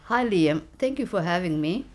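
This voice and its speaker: Woman, 40s, lowish pitch; earnest interviewee, halting delivery. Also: expressive, darkish tone. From Somalia. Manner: earnest, halting, many fillers and restarts. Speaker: local election candidate. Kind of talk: interview, personal story.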